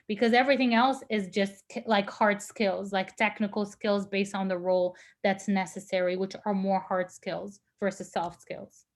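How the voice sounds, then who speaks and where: A woman speaks in a middling, ordinary pitch as a guest on a virtual panel. She answers questions thoughtfully, pausing to search for the right words, heard over a video call. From Lebanon.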